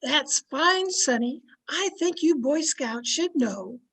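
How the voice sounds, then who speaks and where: A woman playing a patient, folksy, gently chiding wife in an old-time radio comedy. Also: high-pitched, animated, slow, exaggerated voice. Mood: kindly, amazed. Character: patient, sensible wife gently correcting her blustering husband.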